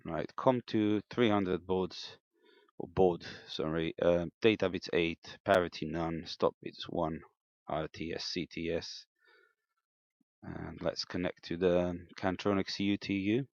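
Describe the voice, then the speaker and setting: A man giving an unscripted, step-by-step technical demonstration in a hesitant, halting way, in a slightly muffled room recording. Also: low voice, fairly even intonation, gravelly, no echo. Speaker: amateur radio operator demonstrating equipment.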